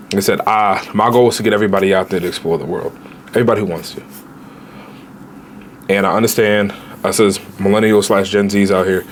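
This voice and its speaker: A man in his late twenties, a travel vlogger giving casual, animated budget travel advice straight to viewers, with slang. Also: fairly low pitch, a little hiss or hum. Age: 20s.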